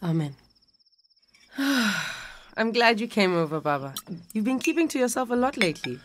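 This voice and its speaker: An actress playing a caring, patient grown daughter in a radio drama, with a South African accent. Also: female voice, medium pitch, big pitch swings, normal speed, lightly acted. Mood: gently coaxing.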